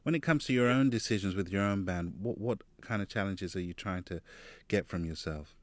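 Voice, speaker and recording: A man's voice: low-pitched, brisk, no room sound. A male radio presenter introducing music and interviewing a guest in a friendly, chatty manner.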